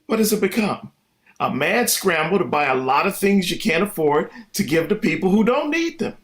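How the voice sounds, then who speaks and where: A man's voice: sits high, big pitch swings, moderate pace. Man playing middle-aged radio dad, wordy, nostalgic, exasperated, widely swinging intonation. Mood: weary, disapproving. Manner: wordy, mock-formal. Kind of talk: vintage radio sitcom acting.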